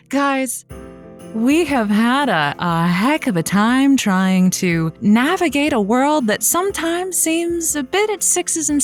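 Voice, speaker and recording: A woman acting as a young female radio host from an audio drama. She is playful and affectionate, chatting to listeners like close friends in a mid-pitched voice. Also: lightly acted.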